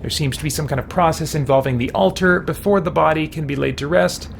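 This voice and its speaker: A man's voice: a little high, fairly quick, creaky. Man streaming a game, noisy background. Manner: thinks aloud, musing. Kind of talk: casual livestream chat while playing and reading game text aloud.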